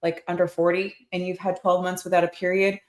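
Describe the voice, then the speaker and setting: A woman's voice: fairly low pitch, little pitch movement, fairly quick. A woman in her forties with an American accent, chatting informally and expressively to livestream viewers as a nurse practitioner hosting a Q&A. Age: 40s. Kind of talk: casual livestream Q&A chat. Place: indoor clinic room under construction. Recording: echoey, roomy livestream sound.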